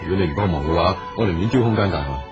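An actor playing a patient, persistent businessman in a radio drama, speaking calmly and earnestly. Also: low-pitched, racing, muffled recording, over music. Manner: calm, earnest and probing.

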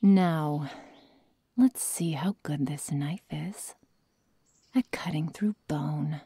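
A woman playing a sadistic young werewolf girl in an ASMR roleplay, coldly teasing and menacing in soft, breathy, often whispered speech close to the microphone. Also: low voice, heavily acted. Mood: sadistic calm. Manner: slow, soft, mock-tender menace. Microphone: close microphone, dry sound.

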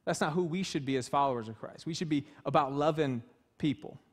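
High voiced guy in his 30s preaching, fired up, small church, mic a bit off. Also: fairly quick. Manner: passionate, emphatic, gesturing freely while preaching. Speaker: pastor. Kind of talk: sermon. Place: small church stage with wood-panel walls.

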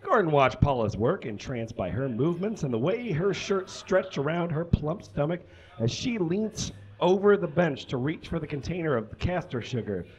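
A man's voice: on the high side, expressive, normal speed, darkish tone, low-quality recording. A young man reading a story aloud on a small stage in a theatrical, mock-serious comic style with ad-libbed asides, picked up by a camera a few metres away. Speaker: live comedy reader. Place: small indoor stage with curtains.

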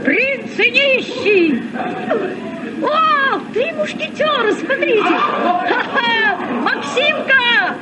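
An actor playing an excited, eager young boy sailor in a children's radio play. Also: extremely high pitch, drawn-out words, a loud crowd, very noisy, full character voice. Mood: amazed, delighted. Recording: distant old radio recording with loud music.

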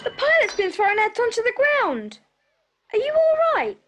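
A woman doing an eager little boy, very high. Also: huge pitch swings, moderate pace, fairly bright tone, exaggerated voice. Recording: music bed under voice.

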